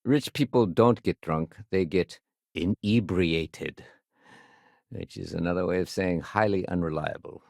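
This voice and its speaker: A wry, low-voiced security sergeant. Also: male voice, a little slow, creaky, a little mellow, no echo, clean studio sound. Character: male sci-fi city security sergeant, wry, businesslike, world-weary. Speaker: video game character. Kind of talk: acted video game dialogue.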